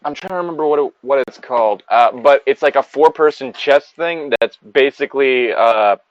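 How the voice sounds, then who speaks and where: A man's voice: medium pitch, moderate pace, no room sound, muffled recording. A man in a casual chat, rambling along and swearing freely.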